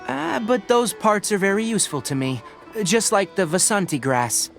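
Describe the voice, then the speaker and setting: A young male scholar of the forest for a video game, with very varied intonation. He is cautious and analytical, explaining things precisely and plainly. Soft music plays behind his slightly high voice. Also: sing-song, gravelly, heavily acted. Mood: matter-of-fact. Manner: precise, measured, instructive.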